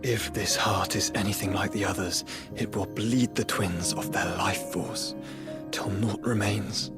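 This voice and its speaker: A somber fantasy game warrior acted by a man with a lowish voice. He speaks solemnly and earnestly, with music clearly behind him. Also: steady tone, close to an everyday voice. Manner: slow, solemn. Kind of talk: dramatic game dialogue.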